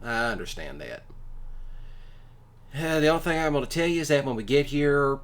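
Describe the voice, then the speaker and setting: A man improvising a casual boyfriend roleplay, talking in a folksy, halting way with drawn-out pauses. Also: medium pitch, lively intonation, clean tone. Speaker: ASMR roleplay performer.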